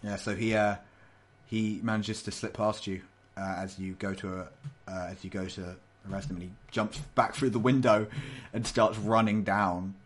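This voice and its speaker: A low-voiced man acts as game master on a voice call. He talks loosely, trips over his words and swears offhandedly while narrating and calling rolls. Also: fairly even intonation, normal speed. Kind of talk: running a tabletop game online.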